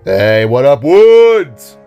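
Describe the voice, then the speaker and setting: A man's voice: mid-range voice, big pitch swings, drawn-out words, clean tone, dull audio, faint music behind, voice pushed up. A man voicing a playful, cocky young guy in an audio drama, teasing in slang like a big brother. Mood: upbeat teasing. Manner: slangy, teasing, stammers when caught out.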